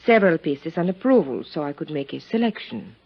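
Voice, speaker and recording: Elegant, evasive countess, expressive pitch. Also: female voice, brisk, slightly rough, exaggerated voice. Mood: evasive, composed. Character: elegant, evasive European countess, anxious and secretive. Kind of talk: 1950s radio drama acting. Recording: dull, noisy vintage radio recording.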